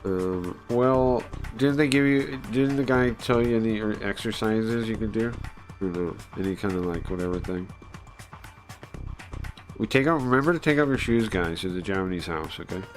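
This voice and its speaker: A man reading and translating a visual novel aloud on a stream in a halting, informal way, often searching for words and chatting along. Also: faint music behind. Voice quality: low-pitched voice. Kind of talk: casual live read-through, translating and chatting.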